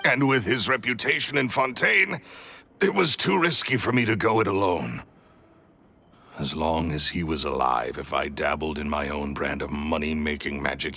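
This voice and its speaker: A man's voice: very deep voice, creaky, sharp tone, slight background noise, full character voice. An actor playing a resentful male magician's apprentice turned thief, confessing in a brooding, bitter way in a video game. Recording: faint music behind.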